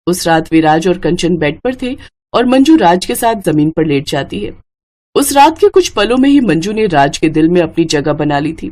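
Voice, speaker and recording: A woman's voice: fairly low pitch, lively intonation, normal speed, darkish tone. A woman storyteller reading a scripted narration.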